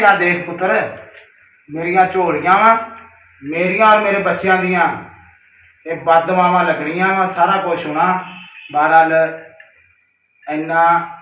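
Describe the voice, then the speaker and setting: Man, higher-pitched voice, aggrieved direct address, emphatic, repetitive, dull lo-fi call recording. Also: bright, forceful tone, heavy reverb, distant mic. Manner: reproachful, emphatic, repeats himself. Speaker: caller leaving a recorded personal message.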